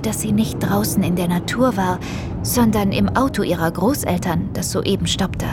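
A woman's voice: medium pitch, noisy background. A woman narrating an audio play as a scripted storyteller.

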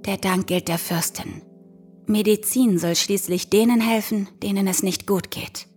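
An actress playing an earnest, hesitant young herbalist's apprentice in an audio drama, speaking tentatively. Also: female voice, mid-range voice, steady tone, unhurried, music in the background, lightly acted. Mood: quiet, earnest. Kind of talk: German audio drama acting.

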